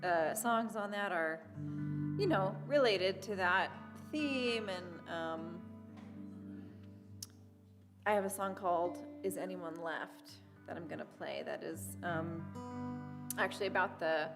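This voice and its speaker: A singer-songwriter woman with a quiet voice, chatting hesitantly between songs at a live concert, full of fillers. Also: lowish voice, takes it slowly, pauses often. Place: historic hall, live concert. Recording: live music hall recording with guitar.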